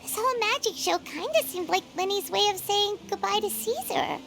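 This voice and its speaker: A woman voicing a tiny, childlike, excitable fairy companion in a video game, with a squeaky voice. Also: moderate pace, vocal fry, music in the background, heavily acted. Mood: excited, curious. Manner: speaks of self in third person, childlike. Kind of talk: English video game voice acting.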